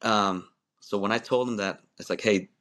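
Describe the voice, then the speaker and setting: A man's voice: lowish voice, moderate pace, fairly bright tone. A man in his forties, a guest on a podcast, telling his story in a loose, rambling way with many restarts and easy laughs. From born in Malaysia, raised in the United States. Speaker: wrestling referee, podcast guest. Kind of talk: casual interview storytelling.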